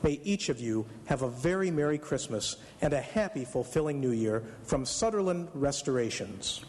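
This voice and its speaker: A man's voice: medium pitch, expressive, normal speed, darkish tone. A man delivering a scripted promotional message as a sponsor announcer on radio. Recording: echoey hall live broadcast.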